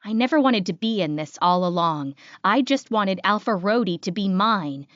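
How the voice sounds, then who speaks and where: A woman's voice: mid-range voice, lively intonation, moderate pace, fairly bright tone, no echo, highs cut off, natural voice. Woman reading a scheming she-wolf, dry sound. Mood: scheming, resentful. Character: scheming jealous female werewolf narrating her secret ambitions. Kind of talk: first-person audiobook narration.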